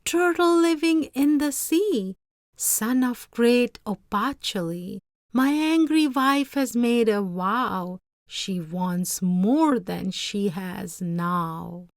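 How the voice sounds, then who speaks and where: A woman's voice: a little high, lively intonation, takes it slowly, no echo, studio quality, voice pushed up. A woman voicing a humble, fearful fisherman in a storybook, pleading earnestly. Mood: calling out. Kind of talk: storybook read-aloud character voice.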